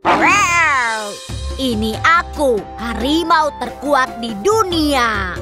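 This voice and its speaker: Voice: sits high, lively intonation, extremely slow, full character voice. An actor voicing a boastful, bossy, quarrelsome tiger in a children's cartoon dub. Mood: boastful, angry. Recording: children's music playing behind.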